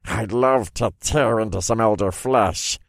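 A man's voice: lowish voice, measured pace, gravelly, no echo, studio quality, voice pushed up. Guy doing a big bloodthirsty winged beast for an audiobook, eager obedient servant. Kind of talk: audiobook character voice.

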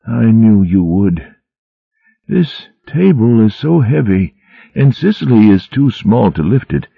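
Frail old mouse abbot, slow and rambling, read by a man. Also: lowish voice, sing-song, creaky, breathy edge, no echo, narrow, tinny audio, pitched above the performer's own voice, exaggerated voice. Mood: delirious. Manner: slow feeble wandering speech.

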